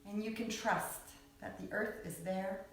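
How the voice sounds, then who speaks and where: A woman guiding a yoga nidra meditation in a soothing, unhurried way, with long pauses between short phrases. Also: mid-range voice, steady tone. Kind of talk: guided meditation. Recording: echoey, distant mic with background music.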